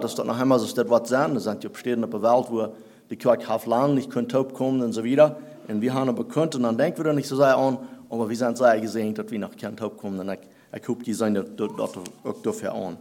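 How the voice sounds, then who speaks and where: A man whose first language is Plattdeutsch, preaching a sermon in halting German that he mixes with English phrases. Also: medium pitch, darkish tone. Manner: halting, searching for German words, mixing in English.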